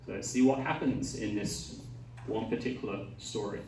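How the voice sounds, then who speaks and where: A man's voice: on the low side, normal speed, mellow, recorded from a distance, a little hiss or hum. A man in his forties from Taiwan, a pastor giving a talk to students, hesitant with many fillers, chatty like a storyteller. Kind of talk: lecture to students. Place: university lecture hall. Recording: roomy hall, voice from off mic.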